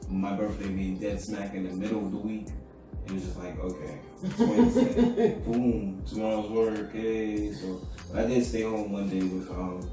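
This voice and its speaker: A man, co-hosting a podcast in a casual, rambling chat at home, keeping his voice low. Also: normal speed. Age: adult with children. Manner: laid-back, rambling, talks low so as not to wake his sleeping son. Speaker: podcast co-host. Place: room at home. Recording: echoey room, distant mic, music.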